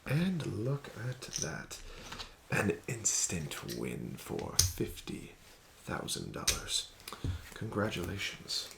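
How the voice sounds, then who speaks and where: A low, gravelly male voice speaks slowly and formally, dropping into soft whispered asides. His pitch rises and falls with lively, teasing inflection. A steady hiss of background noise sits under the recording. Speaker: ASMR roleplay casino dealer. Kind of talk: ASMR roleplay.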